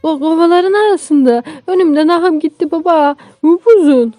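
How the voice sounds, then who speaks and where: A woman voicing a frightened young peasant boy in a storybook, stammering, urgent and shrill. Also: moderate pace, a little mellow, no room sound, faint music behind. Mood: panicked.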